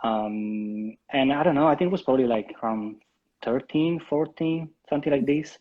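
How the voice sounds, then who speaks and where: An Italian man in his thirties chats as a guest over a video call, his voice slightly husky. He picks his words slowly, with frequent ums and restarts. The audio is muffled and lo-fi, as heard through an online call. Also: mid-range voice. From Italy. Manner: hesitant, many ums and restarts. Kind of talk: casual interview, live stream.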